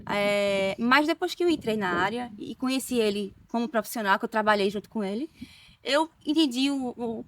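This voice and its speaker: A woman's voice: on the high side, lively intonation, normal speed, recorded from a distance. A woman from Recife with a Pernambuco accent, a nurse talking as a guest in a casual interview, chatty with tag questions and restarts. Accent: Pernambuco Brazilian Portuguese. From Recife, Northeast Brazil.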